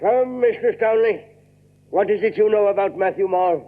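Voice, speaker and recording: Casting: male actor as fussy Puritan magistrate, high hesitant voice with hems and haws, radio drama, thin narrow-band recording. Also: moderate pace, a little hiss or hum, natural voice. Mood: fussy, probing. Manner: hemming, throat-clearing, questioning. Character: fussy, doddering Puritan judge. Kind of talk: audio drama acting.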